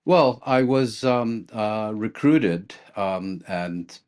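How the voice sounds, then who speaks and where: A man from the Netherlands, a guest analyst answering interview questions in a measured, hesitant way with many restarts. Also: lowish voice, expressive, darkish tone.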